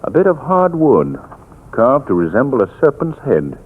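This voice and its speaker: An actor playing a suave, thoughtful gentleman telling a tale in a 1940s radio drama. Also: medium pitch, wildly swooping pitch, normal speed, husky. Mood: measured storytelling. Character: suave, thoughtful gentleman storyteller, calm and worldly. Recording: tinny 1940s radio recording.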